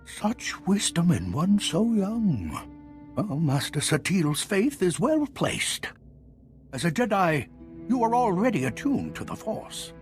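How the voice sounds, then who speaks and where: A man playing an elderly, theatrical, wise Jedi master in a video game, with dramatic pauses and drawn-out mentor phrasing. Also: sits high, very animated, soft background music, full character voice. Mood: mystical, earnest.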